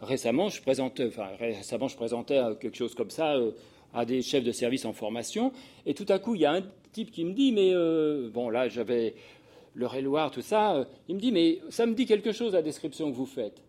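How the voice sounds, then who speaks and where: A man's voice: medium pitch, animated, brisk, warm tone. Older man lecturing in a digressive way. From Paris region, France. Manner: hesitant, digressive delivery with self-interruptions and repeated words. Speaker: sociologist giving a talk to social work professionals. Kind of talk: informal lecture with personal anecdotes.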